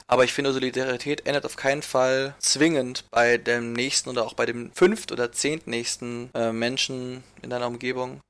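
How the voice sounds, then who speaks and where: Young guy from munich, earnest, kinda searching for words as he goes, mid pitch. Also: little pitch movement, moderate pace, few pauses, fairly bright tone, smooth. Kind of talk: reflective conversation.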